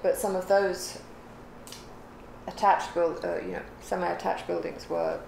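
Older woman, lowish creaky voice, unhurried reflective chat, home room sound. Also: level tone. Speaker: guest, the host's mother. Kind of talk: casual chat on a craft livestream. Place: living room or home craft room. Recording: echoey room, clear background noise.

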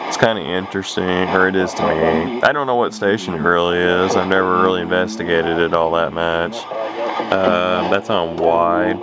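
A man's voice: deep voice, fairly even intonation, moderate pace. A man chatting casually and unscripted as a radio hobbyist, rambling hesitantly and thinking aloud as he demonstrates. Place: indoor room at home beside radios. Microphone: handheld camera mic, speaker behind camera. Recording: noisy handheld camera, loud radio static. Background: shortwave radio hiss and broadcast.

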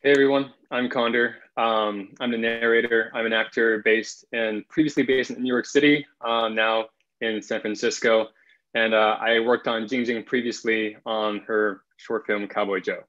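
A man's voice: medium pitch, fairly even intonation, normal speed, a bit bright. A young man speaking as the narrator of a table read over a video call. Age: young adult. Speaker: narrator and actor. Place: plain room at home. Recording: dull video-call audio.

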